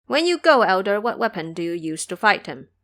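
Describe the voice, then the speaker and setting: A woman's voice: mid-range voice, big pitch swings, brisk, a bit bright. A woman voicing a timid, courteous king with formal, stately speech in an audio drama. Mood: curious, courteous.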